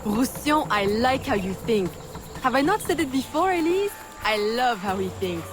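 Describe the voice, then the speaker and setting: A woman playing a resolute, composed young female assassin in a video game, speaking in a measured way. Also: on the high side, very animated, music in the background. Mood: amused. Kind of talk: video game cutscene acting.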